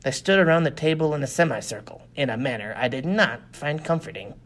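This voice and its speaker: A man's voice: medium pitch, expressive, fairly quick, a bit bright. Guy reading an action audiobook, mid-range voice, dramatic scripted storytelling. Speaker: audiobook reader.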